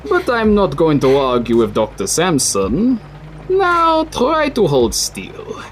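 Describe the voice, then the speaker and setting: An actor playing a courteous, apologetic city coordinator who turns quietly menacing, in an audio drama. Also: male voice, on the high side, wildly swooping pitch, slow pace, a little hiss or hum, exaggerated voice. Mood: politely menacing. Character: polite but sinister city coordinator man. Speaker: coordinator of a recycling facility.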